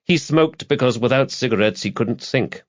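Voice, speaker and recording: A man's voice: mid-range voice, normal speed, muffled recording. A man reading a crime novel aloud as an audiobook narrator, in a scripted literary style.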